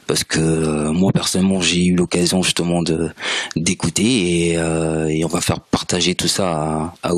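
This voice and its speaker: A male radio host interviewing an artist in the studio, halting and full of fillers as he asks his questions. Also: sits low, dry recording. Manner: halting, many fillers and restarts.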